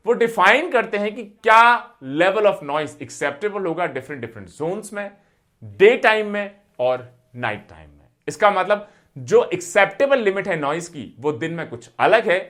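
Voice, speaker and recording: Animated higher-pitched man giving a lecture. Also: measured pace. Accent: Indian. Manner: didactic, repeats and lists points for emphasis. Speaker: teacher for civil service exam aspirants. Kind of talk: explanatory lecture mixing Hindi with English terms.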